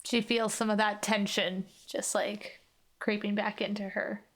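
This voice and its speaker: Woman, medium-pitched, casual, hesitant, thoughtful, improvised roleplay storytelling. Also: little pitch movement, measured pace, a bit bright. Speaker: player on an actual-play podcast.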